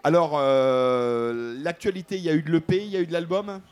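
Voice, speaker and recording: A man's voice: a little high, lively intonation, a little fast, a little mellow, smooth. A man hosting a live music show, interviewing casually on stage in a chummy, teasing, informal way.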